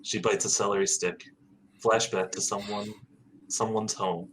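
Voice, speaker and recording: A man reads stage directions aloud in a plain, matter-of-fact way for a sitcom table read. His voice is fairly low. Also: little pitch movement, a little slow, fairly bright tone, a little hiss or hum, poor audio. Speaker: podcast host reading stage directions.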